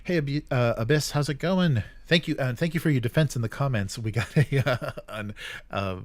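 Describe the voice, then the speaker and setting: A man hosting a casual livestream, giving opinionated commentary in a halting, rambling way. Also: mid-range voice, no room sound. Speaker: live show host.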